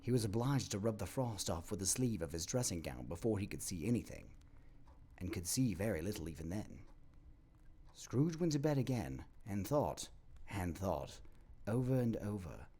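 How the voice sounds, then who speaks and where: A man narrating a classic tale for an audio play, with expressive, measured phrasing and dramatic pauses. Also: lowish voice, slightly rough. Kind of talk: dramatic scripted storybook narration.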